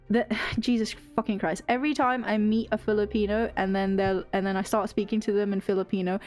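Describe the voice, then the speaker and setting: A young British woman streamer, rambling and laughing through casual chat and swearing freely. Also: medium pitch.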